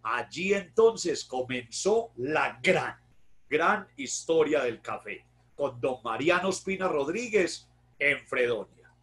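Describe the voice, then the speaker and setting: A man's voice: a little high, animated, slow. A man with a paisa accent from Antioquia giving a conversational history lecture online, often adding tag questions and correcting himself. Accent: Paisa Colombian Spanish. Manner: conversational, warm greetings, frequent tag questions, self-corrections. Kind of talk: virtual history talk to an online audience.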